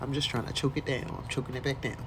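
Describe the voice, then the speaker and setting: A woman's voice: a bass voice, little pitch movement, fast talker, noisy background. An American woman doing a dramatic read-aloud with casual, mocking asides and exclamations, in a theatrical manner. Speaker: host doing a dramatic reading.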